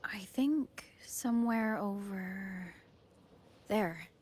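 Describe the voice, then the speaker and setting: A woman's voice: medium pitch, extremely slow, darkish tone, husky. A woman playing a reserved, serious female wanderer in a video game, speaking in terse, restrained lines. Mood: quiet, focused. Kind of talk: scripted video game dialogue.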